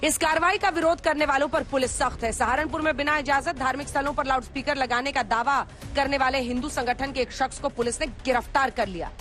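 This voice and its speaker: A high-pitched woman delivers a scripted news report. Her narration is emphatic and dramatic, with music playing faintly underneath. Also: a little fast, fairly bright tone. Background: background music.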